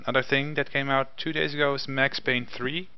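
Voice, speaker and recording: A man's voice: mid-range voice, steady tone, a little fast, clear, resonant tone. A young man whose first language is Norwegian, co-hosting a casual gaming chat over a headset mic from his home, rambling with restarts and fillers. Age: young adult. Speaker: co-host. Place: home room with window. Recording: dull lo-fi webcam call audio.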